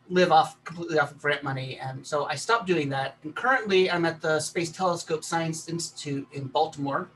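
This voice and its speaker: Halting man from Texas, talking on a call. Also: fairly high pitch, a bit bright. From Texas, USA. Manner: halting, many ums and restarts. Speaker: guest presenter, planetary scientist. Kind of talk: casual chat then slide presentation. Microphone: video call. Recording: distant mic, faint background noise.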